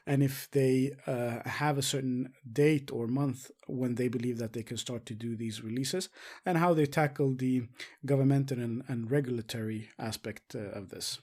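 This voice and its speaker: A man presenting investing commentary as a show host, speaking haltingly with frequent filled pauses. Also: medium pitch, darkish tone. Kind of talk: semi-scripted stock market commentary.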